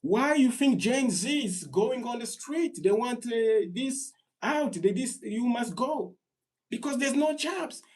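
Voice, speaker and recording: Husky man advising on immigration. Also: animated, slow pace. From Congo. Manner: earnest, repetitive, gets loud and high-pitched when worked up. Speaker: immigration lawyer hosting a live stream. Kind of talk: live stream Q&A with callers.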